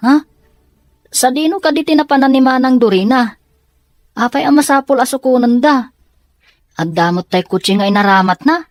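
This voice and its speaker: A woman's voice: sits high, normal speed, long pauses, dry recording, faint music behind, natural voice. A woman acting as an inquisitive younger child of the household in an amateur audio drama. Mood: puzzled.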